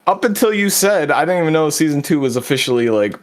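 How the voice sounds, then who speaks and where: A man co-hosting a casual chat show over a call, laid-back and halting. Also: on the high side, animated. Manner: laid-back, halting, many fillers and restarts. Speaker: podcast co-host.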